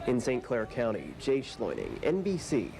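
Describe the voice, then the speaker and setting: A man's voice: mid-range voice, huge pitch swings, moderate pace, vocal fry, music in the background. A man working as a television news reporter, narrating a scripted news package.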